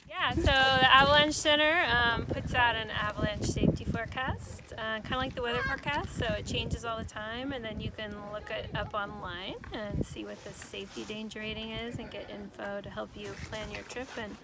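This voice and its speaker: A woman's voice: a little high, fairly even intonation, moderate pace, hardly any pauses. A woman vlogging on a winter hike, chattily explaining an avalanche forecast. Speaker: travel vlogger. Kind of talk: casual vlog narration. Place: outdoors on a snowy mountain trail. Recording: noisy outdoor camera audio.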